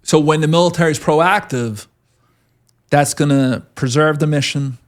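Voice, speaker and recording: A man's voice: fairly high pitch, moderate pace. A man hosting an interview, asking chatty, leading questions and often adding "right?". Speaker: podcast host. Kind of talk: conversational interview.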